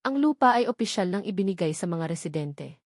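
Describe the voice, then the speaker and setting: A woman narrating a scripted love story as a storyteller, with a measured, earnest, sentimental delivery. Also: lowish voice, lively intonation, darkish tone, no echo, clean studio sound.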